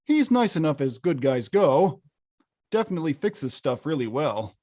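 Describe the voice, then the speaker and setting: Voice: mid-range voice, big pitch swings, normal speed, mellow. An actor playing a huge, hot-tempered, weary arcade-game villain who confides wryly and grumbles conversationally in a table read. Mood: begrudging, boastful. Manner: conversational, grumbling, bursts into bellows. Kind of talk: table-read acting, confessional voice-over.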